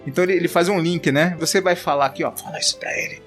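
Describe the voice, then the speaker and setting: Brazilian male co-host, slightly high voice, easygoing and joking, faint guitar behind. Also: racing. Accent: Brazilian Portuguese. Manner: relaxed, joking, acts out quotes. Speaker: podcast co-host. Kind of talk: casual Bible commentary chat.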